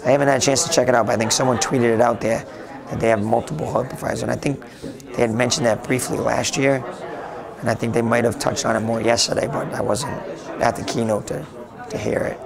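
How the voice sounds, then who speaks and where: Gravelly man on a noisy convention floor. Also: mid-range voice, fairly even intonation, fast talker. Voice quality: raspy. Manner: rambling with frequent restarts. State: hoarse from talking a lot. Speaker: interviewee, tech blogger. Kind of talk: casual conversational interview. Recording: noisy crowded convention hall. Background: crowd chatter.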